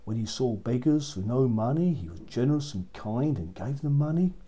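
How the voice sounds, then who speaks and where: Guy telling kids a fairy tale, mellow slightly husky voice, really expressive. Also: medium pitch, normal speed. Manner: repeats phrases for effect. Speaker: children's storyteller. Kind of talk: animated fairy-tale narration.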